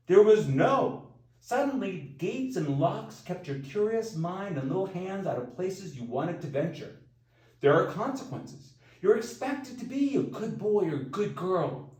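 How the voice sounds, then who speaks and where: A man with a notably high voice narrates a self-help book. He phrases deliberately and emphatically, pausing between points. The sound is clearly echoey, as if he sits some way back from the microphone. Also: a little mellow. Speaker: author reading own audiobook. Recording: roomy echo, distant from mic.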